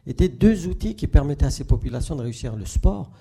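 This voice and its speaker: A man's voice: mid-range voice, lively intonation, quick pace, gravelly, warm tone, slightly rough. A man on a panel, speaking hesitantly with many fillers. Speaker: panel guest.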